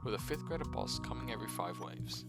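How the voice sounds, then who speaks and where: A man's voice: lowish voice, lively intonation, quick pace, loud music behind the voice. A man voicing a mischievous, eccentric schoolgirl from a visual novel, playful and teasing with absurd questions. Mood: boastful, whimsical.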